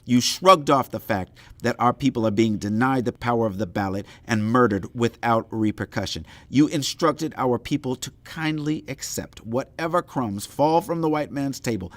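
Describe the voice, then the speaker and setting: An actor playing an indignant intellectual leader in a formal audio play debate, speaking in eloquent, accusatory oratory. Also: male voice, mid-range voice, normal speed, hardly any pauses, a bit bright, dry recording, lightly acted. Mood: accusing.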